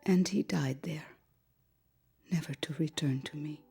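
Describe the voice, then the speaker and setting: Wistful woman ex-pilot, often hushed. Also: sits low, unhurried, gravelly, slightly rough, soft background music, exaggerated voice. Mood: grieving, resigned. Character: grieving former female fighter pilot, reflective and resigned. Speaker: former wingman recounting the war. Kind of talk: dramatic documentary-style testimony.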